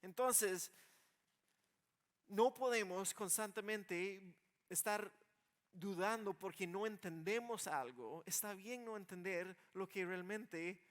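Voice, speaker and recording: A male preacher with a notably high voice explains scripture in everyday words. He swings from quiet reflection to insistent emphasis, often repeating a word as he restarts a phrase. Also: takes it slowly. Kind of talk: expository sermon, explaining scripture in plain conversational words.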